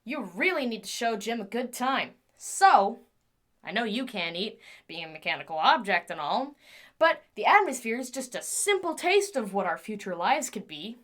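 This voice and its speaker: Audio drama role, for a woman: eccentric female scientist, proud and obsessive, formal wording, raised pitch with lively swings. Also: moderate pace. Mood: coaxing, giddy. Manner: formal clinical diction breaking into pleading outbursts. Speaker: voice actor. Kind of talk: audio drama monologue as recorded research notes.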